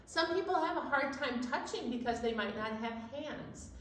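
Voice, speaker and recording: A woman with a high voice hosts a storytime for young children. She speaks in an encouraging, exclaiming manner. The sound is very echoey and distant from the microphone. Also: lively intonation, moderate pace, a bit bright, a little hiss or hum. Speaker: children's library storytime host. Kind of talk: children's storytime chat and sing-along.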